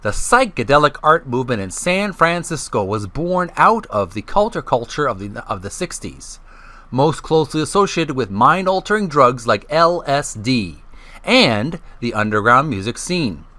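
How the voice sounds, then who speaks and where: Bright-voiced man with a lively, swinging pitch. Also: normal speed, dry recording. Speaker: host of a video gaming channel. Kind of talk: casual vlog intro and reading aloud from a book.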